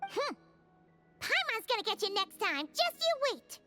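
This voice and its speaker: A woman voicing a tiny, cheeky, excitable fairy companion in a video game, with a squeaky, childlike, bouncy delivery. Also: unhurried, faint music behind, heavily acted. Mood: indignant. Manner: talks about herself in third person, bouncy. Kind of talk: scripted video game dialogue.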